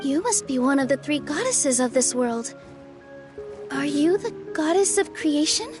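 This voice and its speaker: Gentle, high-voiced young adventurer. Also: female voice, lively intonation, measured pace, over music. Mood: curious. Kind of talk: video game voice acting.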